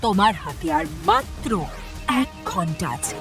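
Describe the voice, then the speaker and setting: A high, gravelly cartoon villain, taunting a captive with mock friendliness. The delivery swings widely, drawing out each threat over a music bed. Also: female voice, wildly swooping pitch, exaggerated voice. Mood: menacing. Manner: mock-friendly, menacing, drawn-out teasing delivery. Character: taunting car-hacking villain making cold threats. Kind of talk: animated crime drama acting.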